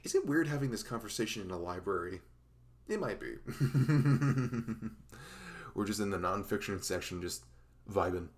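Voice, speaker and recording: A man playing a dorky, smitten young best friend in an intimate ASMR roleplay, speaking in a halting, awkward, rambling way. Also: lowish voice, sing-song. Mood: goofy, playful.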